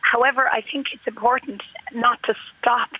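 A woman calling a radio show, croaky from a sore throat, making an articulate, measured argument. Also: on the high side, fairly even intonation, pushed and bright, slight background noise. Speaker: radio caller. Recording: dry, tinny phone line.

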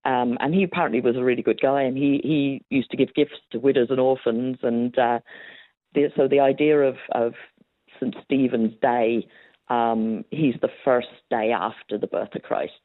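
A woman's voice: a bass voice, steady tone, normal speed, dry recording. A woman historian answering interview questions in a chatty storytelling way, laughing often and using plenty of fillers. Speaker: historian guest. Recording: tinny phone-like line.

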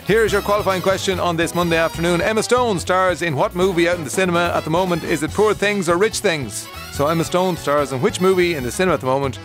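A man's voice: high voice, fast, few pauses, over music. An Irish man hosting a radio talk show, chatting casually and reading promos with a sing-song lilt. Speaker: radio host.

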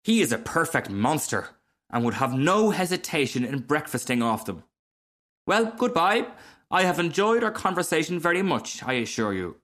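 A man's voice: a little high, very animated, normal speed, fairly bright tone, voice pushed up. A man voicing a small, cheerful male frog in a storybook, garrulous, genteel and very pleased with himself. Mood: breezy, chatty. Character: cheerful, chatty, self-satisfied little male frog. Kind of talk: storybook character reading.

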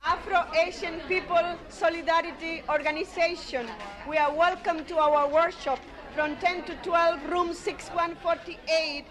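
High-voiced woman announcing a workshop. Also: steady tone, a little slow, fairly bright tone, soft background music, a faint crowd. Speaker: workshop organiser.

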